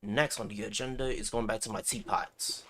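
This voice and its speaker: A man's voice: lowish voice, steady tone, moderate pace, a bit bright, slight background noise. A man livestreaming a game with casual commentary, muttering short exclamations to himself and chatting to viewers. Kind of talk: casual live gameplay commentary.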